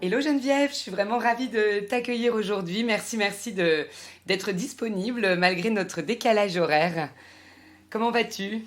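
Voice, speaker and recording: A woman's voice: mid-range voice, moderate pace, faint music behind. A French-speaking woman hosting a podcast, reading a scripted intro and then chatting eagerly with her guest. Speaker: podcast host.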